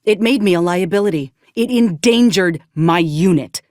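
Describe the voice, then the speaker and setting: A woman's voice: on the low side, moderate pace, darkish tone, dry recording, studio quality, natural voice. An actress playing a principled, wounded woman soldier in a video game, weary yet fiercely indignant, speaking earnestly in folksy, old-fashioned frontier phrasing. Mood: outraged, distressed. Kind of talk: dramatic video game acting.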